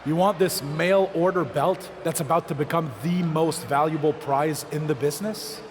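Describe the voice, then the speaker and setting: A man's voice: on the high side, steady tone, moderate pace, close to an everyday voice. An actor playing an indignant male leader of a rebel wrestling roster, delivering a scornful, challenging promo in a video game. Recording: echoing arena with crowd noise.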